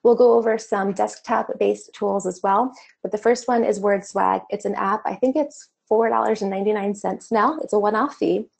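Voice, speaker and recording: A woman's voice: mid-range voice, moderate pace, creaky, darkish tone, husky. A woman teaching an online course, walking through a screen-share tutorial in a casual, conversational way, her voice a little worn. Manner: casual, conversational explaining with fillers. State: slightly losing her voice.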